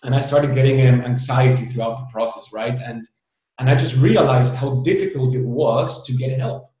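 A man in his thirties speaks candidly as an interview guest, his words tumbling out with fillers and restarts. The recording carries heavy room echo. His voice sits at a middling pitch. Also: warm tone. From El Salvador. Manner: candid, fast-paced, hesitant restarts and fillers. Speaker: founder, guest. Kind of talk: casual interview talk. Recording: very echoey room.

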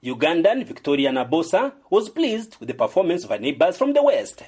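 A man's voice: a little high, huge pitch swings, a little fast, darkish tone, slightly rough. A man reporting a scripted radio sports feature, narrating between interviews.

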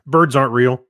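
A man's voice: medium pitch, a bit bright, no room sound. Laid-back man in dry-sounding podcast chat. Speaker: podcast co-host. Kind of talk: casual chat.

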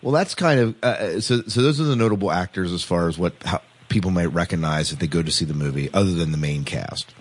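Male podcast host, low husky voice, halting and conversational. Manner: halting, repeats words. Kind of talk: casual panel discussion.

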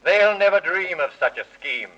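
A man's voice: high voice, lively intonation, normal speed. An actor playing a solemn talking magic mirror that declaims in rhyme for a witch in a 1930s radio serial. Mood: solemn, declaiming. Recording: muffled 1930s radio recording.

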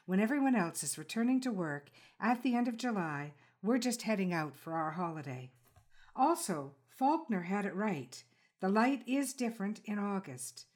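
A woman hosting a podcast, reading a scripted literary introduction and interviewing in a measured, formal, lyrical way. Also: medium pitch, animated, darkish tone. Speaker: podcast host. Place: sunroom home studio.

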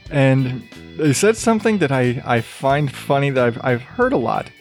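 A man talks through his own podcast with a soothing, even voice and a loose, rambling way of speaking. He swears freely and keeps things casual. Faint music sits quietly underneath him. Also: mid-range voice, normal speed. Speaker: podcast host. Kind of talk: casual solo podcast chat.

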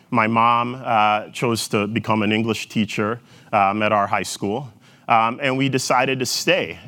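A man's voice: fairly low pitch, fairly bright tone. A middle-aged man from Jamaica giving a talk to an audience, telling his story in a reflective way with fillers. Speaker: guest speaker.

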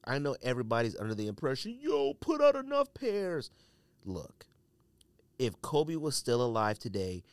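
A man's voice: medium pitch, no echo. An American man hosting a solo podcast, giving opinionated commentary in slangy, colloquial speech and repeating phrases for emphasis. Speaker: podcast host.

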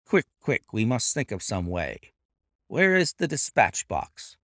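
A brisk, authoritative gentleman detective, voiced by a man. He speaks crisply and deliberately, with a lively pitch and a gravelly texture. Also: dry recording, studio quality. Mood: urgent.

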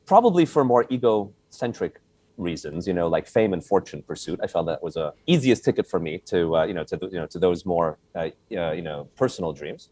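A man in his forties from Hungary, answering interview questions casually with a halting delivery full of fillers. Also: low voice, big pitch swings, mellow, slightly rough, dry recording. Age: 40s. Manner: halting, many fillers and restarts. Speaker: guest, company founder.

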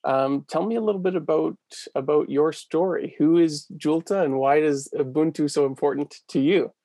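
A man hosts an interview and asks his guest about her story. His voice is gravelly, and the sound is dry and close. He is encouraging and affirming and leans on fillers. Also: on the high side, normal speed, warm tone, no echo. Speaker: interviewer, host.

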